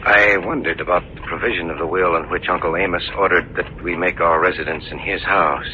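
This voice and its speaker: An actor playing a sober, uneasy heir who tells his story in a measured, grave manner in 1940s radio drama. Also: low-pitched, steady tone, background noise, lightly acted. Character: sober, uneasy male heir narrating a mystery. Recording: muffled old radio recording, music behind.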